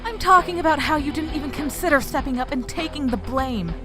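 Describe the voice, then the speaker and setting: A defiant young female trainee, played by a woman, with a fairly high voice over prominent music. She is sullen and cutting, delivering dramatic lines with biting sarcasm. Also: expressive, brisk. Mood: bitter, accusing. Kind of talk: dramatic voice acting in an audio drama.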